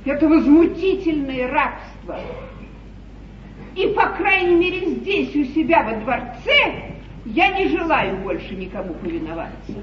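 A woman's voice: high voice, sing-song, a little slow, echoey room. An actress playing a fretful yet imperious queen in a theatrical, declamatory mid-century stage comedy style. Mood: indignant, imperious. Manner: grand, declamatory, plaintive. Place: large theatre stage, echoing. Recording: muffled, hissy 1950s theatre broadcast, distant.

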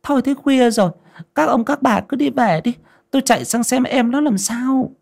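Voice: medium pitch, moderate pace, vocal fry, a little mellow, voice pushed up. A narrator voicing an anxious, bossy elderly village wife with fretful, folksy, stammering speech in an audio story. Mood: worried, bossy.

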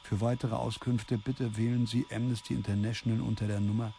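A brooding, brusque man in a radio drama. His voice is low with a lot of fry, and he mutters over clearly noisy sound. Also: flat delivery, a little fast. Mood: grave. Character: gruff, brooding spare-parts dealer, reading and muttering. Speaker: lead character. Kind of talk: German radio drama acting. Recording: clear background noise.

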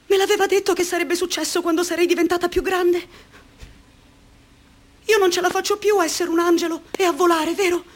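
An actress playing a worn-out, ailing young woman in a radio play, speaking quietly and wearily. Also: extremely high pitch, fairly even intonation, brisk. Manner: quiet, weary, dreamy. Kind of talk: Italian radio play acting.

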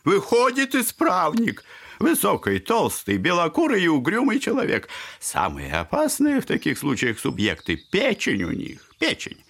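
Old clown dad in an audio drama, high piercing voice, fawning, rambling, super theatrical. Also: male voice, wildly swooping pitch, moderate pace, fairly bright tone, husky, heavily acted. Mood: gleeful, clowning. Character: garrulous, clownish old father, a self-declared buffoon.

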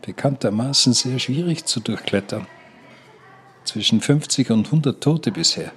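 An actor playing a boastful, indignant old mountaineer who digresses like a know-it-all, in a radio drama. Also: male voice, medium pitch, lively intonation, normal speed, fairly bright tone.

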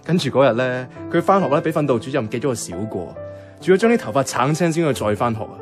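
Actor as mild young man, Hong Kong Cantonese, lively mid-range voice, understated wry radio drama narrator, clear background music. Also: mid-range voice, quick pace, close to an everyday voice. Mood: chatty, relaxed. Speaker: narrator and lead character.

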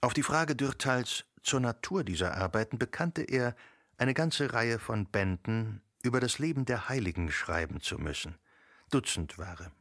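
A man reading literary narration for a radio drama in a measured, deliberate way. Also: on the low side, a bit bright, no echo. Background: music.

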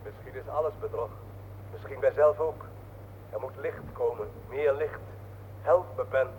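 An actor playing a man's despairing inner voice in a radio play, hushed, bleak and pleading. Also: mid-range voice, moderate pace, a little hiss or hum, lightly acted, rough recording. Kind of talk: dramatic radio play acting.

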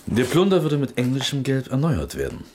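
An actor playing a scheming, corrupt court minister in a radio play, with a sly, insinuating manner. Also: male voice, medium pitch, wildly swooping pitch, fairly quick, noisy background.

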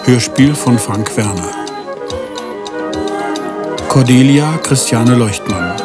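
A man's voice: mid-range voice, expressive, slow. A man reading scripted closing credits as a radio announcer. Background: music.

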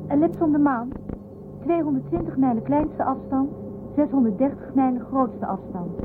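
A woman playing an eager, girlish young starship crew member in a science fiction radio drama, reporting crisply. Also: high-pitched, moderate pace, noisy background, lightly acted. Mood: businesslike. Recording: thin phone-like sound.

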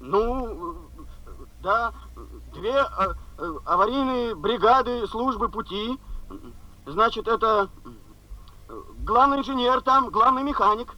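Very high, sharp-voiced flustered official. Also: male voice, lo-fi sound, exaggerated voice. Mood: flustered, excusing. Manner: hesitant, halting, bureaucratic wording. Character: flustered, apologetic railway official making excuses. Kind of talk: 1970s Soviet radio drama acting.

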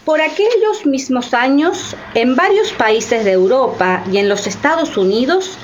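A woman's voice: high voice, animated, a little slow. A woman narrating history as the storyteller of a scripted radio drama. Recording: dull, slightly noisy sound.

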